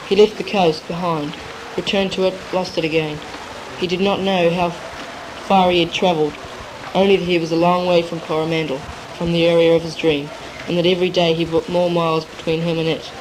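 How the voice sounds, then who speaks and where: Flat teenage narrator on a noisy tape. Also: medium pitch, brisk. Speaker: narrator in a school drama. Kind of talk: scripted third-person literary narration. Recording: noisy amateur 1980s cassette tape.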